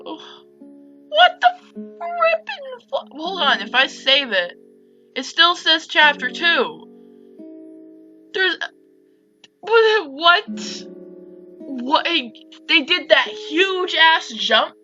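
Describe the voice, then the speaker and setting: A woman playing a visual novel and commenting casually, with slangy, expressive reactions. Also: high voice, extremely slow, pauses often, highs cut off, soft background music. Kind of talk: casual let's play commentary.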